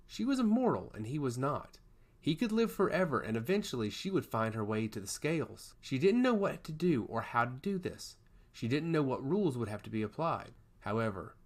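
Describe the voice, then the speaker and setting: A man narrating a scripted fantasy story in a dramatic, emphatic way. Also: mid-range voice.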